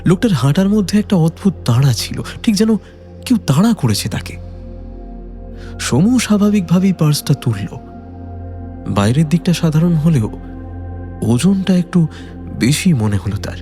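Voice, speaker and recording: A man's voice: a little high, sing-song, normal speed, pauses often, mellow, faint music behind. A man narrating a detective audio story from a script in a dramatic style.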